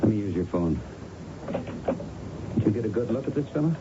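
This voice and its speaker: An actor playing a grave, persistent police lieutenant in a radio drama, speaking in a deliberate, formal, probing way. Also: male voice, on the low side, expressive, close to an everyday voice. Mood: businesslike. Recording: dull, noisy old radio recording.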